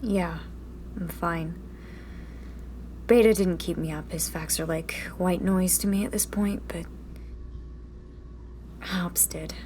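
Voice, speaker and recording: A woman's voice: lowish voice, fairly even intonation, slow, long pauses, mellow, a little hiss or hum. A woman acting a blunt, challenging rebel in a sci-fi radio drama, pointed and insistent. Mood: weary, dejected.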